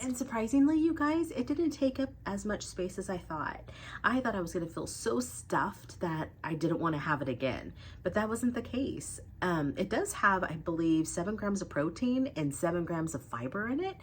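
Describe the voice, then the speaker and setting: A woman's voice: lowish voice, a little hiss or hum. A young adult woman with cold congestion, giving a chatty weight loss update to her viewers. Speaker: vlogger.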